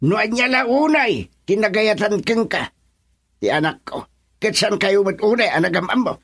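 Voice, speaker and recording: A man's voice: high-pitched, lively intonation, normal speed, fairly bright tone, heavily acted. An actor with an Ilocano accent playing a stern, proud middle-aged father in a radio drama. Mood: stern, challenging.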